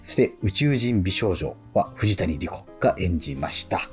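Low-voiced, easygoing male radio host. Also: moderate pace, faint music behind. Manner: relaxed, with many fillers and chuckles. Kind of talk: casual radio chat.

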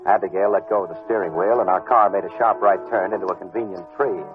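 A man's voice: low-pitched, fairly even intonation, fast, natural voice. An American actor playing a wry, easygoing private detective in an old-time radio drama, casual and a little hesitant as he talks and narrates. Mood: wry, storytelling. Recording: muffled vintage radio recording with music.

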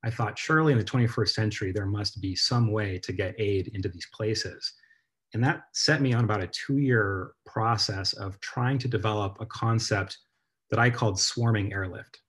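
A man giving a measured, earnest talk about his own story on a video call, as the guest author. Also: on the low side, a bit bright.

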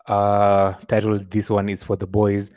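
Low-voiced man hosting an interview. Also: monotone, a little slow, darkish tone, dry recording. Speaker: podcast host. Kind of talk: interview questions.

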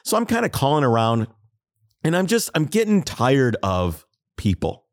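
A man's voice: medium pitch, normal speed, no room sound, studio quality. A man hosting a podcast, telling a long story in casual chat and acting out the people he quotes. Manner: animated storyteller, acts out quoted exchanges. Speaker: podcast host.

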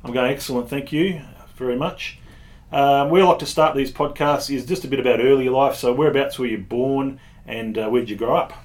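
A man hosting a casual podcast interview, speaking in a halting way with repeated words. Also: medium pitch. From Tasmania, Australia. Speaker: podcast host.

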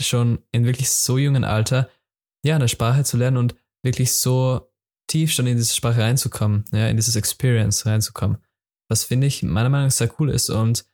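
A man's voice: medium pitch, darkish tone. A young man hosting a solo podcast, talking informally in a loose, repetitive way with plenty of fillers and restarts. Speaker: podcast host. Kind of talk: casual solo podcast talk.

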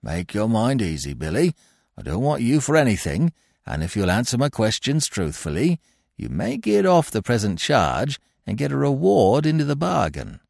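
A man voicing an impatient, commanding, quick-tempered police detective in an audiobook, with stern, urgent delivery. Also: mid-range voice, wildly swooping pitch, gravelly, darkish tone, dry recording, studio quality, pitched above the performer's own voice, exaggerated voice. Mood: brisk, firm. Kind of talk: audiobook character voice.

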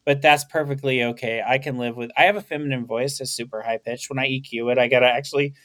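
A man's voice: medium pitch, fairly even intonation, fast talker, fairly bright tone. A young man hosting a casual podcast, rambling and joking with lots of swearing and laughter. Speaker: podcast host. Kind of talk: casual comedic podcast banter.

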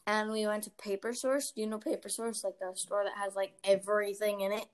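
A woman's voice: mid-range voice, flat delivery, a little fast. A young woman hosting a casual chat podcast, talking chattily with lots of fillers and giggles. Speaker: podcast host.

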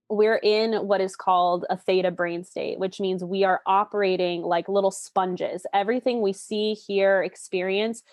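A woman's voice: mid-range voice, dry recording. Young woman explaining things with candid enthusiasm, dry sound. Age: late 20s. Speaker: guest expert explaining relationship psychology. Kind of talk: animated conversational explanation.

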